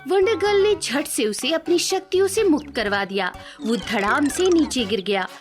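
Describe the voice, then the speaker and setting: A woman's voice: high-pitched, lively intonation, normal speed, faint music behind. A woman narrating a scripted children's cartoon story.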